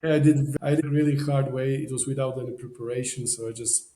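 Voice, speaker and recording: A man's voice: medium pitch, steady tone, fast talker, mellow, roomy sound. A man from Poland with a Polish accent, a podcast guest telling a story in casual, rambling talk full of "you know" fillers. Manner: rambling, many "you know" fillers, non-native phrasing.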